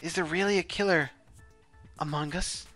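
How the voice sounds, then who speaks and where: A man voicing a polite, soft-spoken, unsettling teenage boy in an amateur game dub. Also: fairly high pitch, moderate pace, faint music behind. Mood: calm, ominous.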